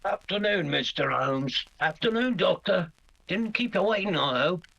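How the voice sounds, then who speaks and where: Plain-spoken radio police detective, male actor: gravelly, bright, respectful but matter-of-fact. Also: high voice, expressive, a little slow, heavily acted. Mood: jaunty greeting. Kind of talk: old-time radio mystery acting.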